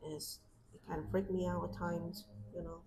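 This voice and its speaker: A woman's voice: lowish voice, level tone, moderate pace. A young woman with a Vietnamese accent, casually chatting and thinking aloud with lots of fillers as she draws on a live stream. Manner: soft-spoken, thinking aloud with many fillers. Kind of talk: casual chat while drawing. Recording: clear background street noise. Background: outside street noise.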